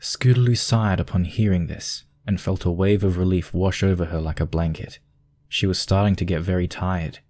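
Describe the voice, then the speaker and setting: A man's voice: deep voice, steady tone, moderate pace, a little mellow. A man narrating a scripted piece of fiction as a storyteller.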